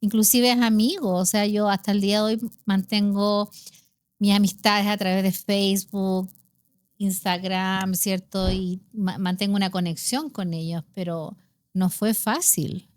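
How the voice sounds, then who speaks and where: A woman's voice: mid-range voice, fairly even intonation, unhurried, dry recording. Host, woman, middle-aged, Chilean accent, conversational storyteller, dry studio sound. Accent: Chilean Spanish. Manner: chatty, storytelling, frequent tag questions. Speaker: podcast host. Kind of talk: casual conversational chat.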